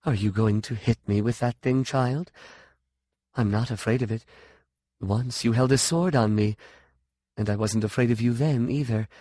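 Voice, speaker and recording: A man's voice: mid-range voice, normal speed, long pauses, warm tone, no echo, close to an everyday voice. Man acting a old woman sorceress, crazy, manipulative, wheedling, childlike, pleading, audiobook dialogue, dry sound. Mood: somber, proud.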